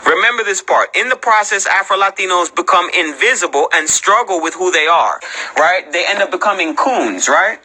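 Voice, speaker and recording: A male host gives blunt, unscripted commentary in a high-pitched voice. His tone is very bright and hard-edged. He swears freely, repeats himself to drive points home and challenges listeners with rhetorical questions. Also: animated, normal speed, poor audio. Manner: blunt, profane, repeats phrases for emphasis. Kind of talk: unscripted commentary on read-out articles and clips.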